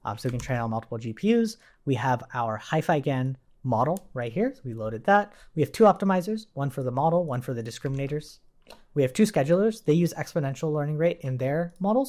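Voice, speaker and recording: Male coding instructor, medium pitch, conversational and explanatory, informal screen tutorial delivery with typing at the desk. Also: a little mellow. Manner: conversational, explanatory, often checking with "right?". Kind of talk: informal technical tutorial walkthrough.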